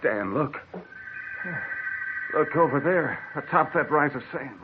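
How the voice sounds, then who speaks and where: A man's voice: fairly high pitch, expressive, takes it slowly. An actor playing a man lost in the desert and dying of thirst, who talks feverishly and pleads with his partner, on an old radio drama. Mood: dazed. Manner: feverish, pleading, rising to frantic shouts. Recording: tinny old radio broadcast with hiss.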